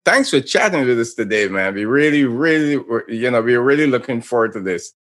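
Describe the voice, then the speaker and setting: Male co-host, medium-pitched voice, relaxed and amiable. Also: fairly quick. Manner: easygoing, laughing along. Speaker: podcast co-host. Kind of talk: casual podcast banter.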